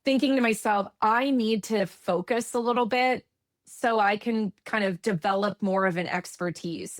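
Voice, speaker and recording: A woman's voice: mid-range voice, dry recording. A woman from eastern Canada with a Canadian accent, a guest talking in a chatty, reflective way and correcting herself as she goes. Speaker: guest, UX expert. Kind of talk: conversational interview.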